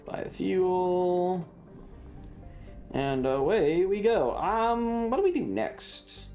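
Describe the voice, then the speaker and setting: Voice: mid-range voice, very animated, slow pace, warm tone, faint music behind. A streamer chatting casually while playing a video game, rambling along to themselves and the viewers. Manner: rambling, talks to self and chat. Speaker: streamer hosting a live stream.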